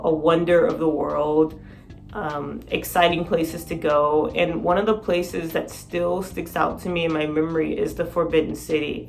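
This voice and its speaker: A sleep-deprived woman with a raspy voice, chatting excitedly in a casual vlog. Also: fairly low pitch. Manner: excited, rambling, laughs often. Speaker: vlogger.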